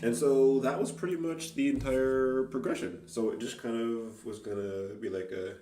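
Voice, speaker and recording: A man chatting casually and unscripted as an amateur guitarist, halting and self-critical as he thinks aloud. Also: medium pitch, steady tone. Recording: roomy, distant mic, loud guitar. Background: acoustic guitar playing.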